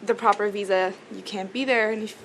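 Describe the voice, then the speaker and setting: A woman's voice: medium pitch, steady tone, brisk, fairly bright tone, a little hiss or hum, rough recording. A young woman from South Africa, a native Afrikaans speaker, chatting easily as a musician guest, often giggling and joking dryly. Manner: giggly, easygoing, dry jokes. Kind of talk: casual interview chat.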